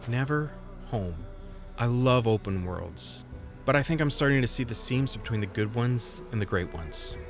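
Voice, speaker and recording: A man's voice: mid-range voice, lively intonation, normal speed, over music. A man reading a scripted video game review voice-over in a wry, conversational way, with self-deprecating asides.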